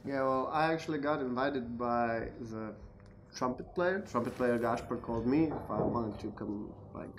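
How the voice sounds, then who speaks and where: A man from Slovenia, a guitarist, speaking softly and hesitantly in a group interview at a music venue. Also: medium pitch, moderate pace, slight background noise.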